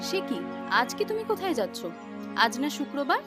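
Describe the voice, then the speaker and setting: A woman playing a worried, protective village wife in an animated moral story, fretful and chiding. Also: high voice, brisk, over loud music.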